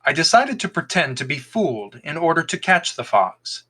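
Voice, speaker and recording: A man reading a folktale aloud as an expressive podcast storyteller, in scripted narration. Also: fairly high pitch, moderate pace, husky.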